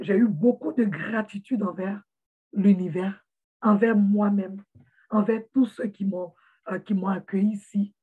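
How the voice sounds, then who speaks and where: A woman speaking straight to her viewers in an earnest, encouraging vlog. Her voice is husky and a little breathy. It sounds tinny and narrow, like a phone recording. She often stops and repeats a word before she finds the next one. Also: fairly low pitch. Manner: earnest, repeats words while searching for them. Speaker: motivational vlogger for immigrants. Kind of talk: heartfelt motivational talk to camera.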